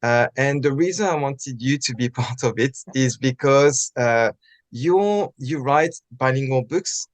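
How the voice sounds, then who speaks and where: A man's voice: mid-range voice, a little slow. A man hosting an interview, speaking in a friendly way with many fillers and restarts. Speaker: interview host. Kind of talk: casual interview chat.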